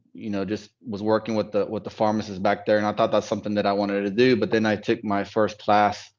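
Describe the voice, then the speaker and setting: Low, flat-voiced man on a muffled call. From Dallas, United States. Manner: relaxed, reflective, many fillers. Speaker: accounting firm partner. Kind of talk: casual panel talk on a video call. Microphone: video call. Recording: dull lo-fi call audio.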